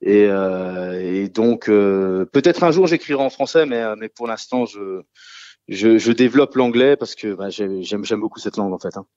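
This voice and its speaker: A man from a rock trio talks through a radio interview, stopping often for fillers and starting his sentences over. The sound is dry, with the highs a little muffled. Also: moderate pace, a little mellow, no room sound. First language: French. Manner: hesitant, many fillers and restarts. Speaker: singer-guitarist of a rock trio. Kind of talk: casual radio interview answers.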